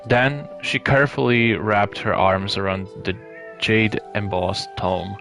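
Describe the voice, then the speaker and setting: A man reading a story aloud live as narration, in a measured way with some hesitations and stumbles. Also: lowish voice, steady tone, a bit bright. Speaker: streamer reading a visual novel aloud. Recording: clear background music behind the voice.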